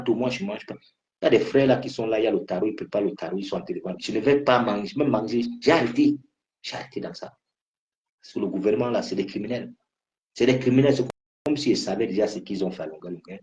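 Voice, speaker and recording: A man's voice: on the low side, dark tone. A man from Cameroon, giving an impassioned political address and hammering the same phrases again and again. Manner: fervent, repetitive, hammering phrases. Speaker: political activist. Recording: muffled lo-fi recording.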